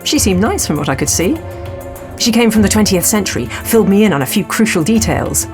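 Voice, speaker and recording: A woman's voice: mid-range voice, expressive, brisk, music in the background, close to an everyday voice. A woman playing a wry archaeology professor narrating her own adventure in an audio drama. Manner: wry, storytelling. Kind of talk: dramatic first-person scripted narration.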